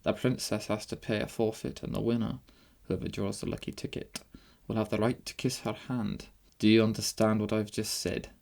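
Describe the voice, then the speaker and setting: Casting: male reader as sardonic master of ceremonies, formal, precise, condescending, medium pitch, audiobook. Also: brisk, gravelly, hardly any pauses, a little mellow. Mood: sarcastic. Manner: dry, sarcastic, precise. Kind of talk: audiobook character voice.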